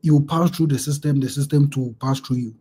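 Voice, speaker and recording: A man's voice: medium pitch, fairly even intonation, a little fast, dark tone, a little hiss or hum. A man from Ghana with a Ghanaian accent, hosting a casual interview, reflective and affirming, often repeating words as he thinks. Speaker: interview host.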